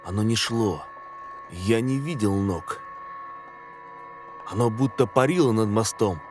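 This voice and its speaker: A man reading a scary story as a dramatic scripted narration, in a measured, suspenseful way. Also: lowish voice. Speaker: narrator of horror stories. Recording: music under the voice. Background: background music.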